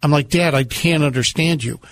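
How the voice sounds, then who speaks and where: A man with a slightly high voice works as a radio co-host. He talks conversationally and tells stories with a dry, teasing sense of humor. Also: normal speed, fairly bright tone, no room sound. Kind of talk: conversational radio talk with storytelling. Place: radio studio.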